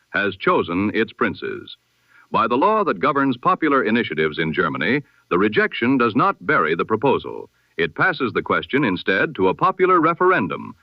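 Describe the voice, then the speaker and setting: A low-voiced man reads the news like an old-time radio announcer, his pitch rising and falling widely as he lands each point. He slows for dramatic pauses. The sound is dry and dull, like an old lo-fi radio set. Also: no room sound. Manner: measured, emphatic delivery with dramatic pauses. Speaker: newsreader.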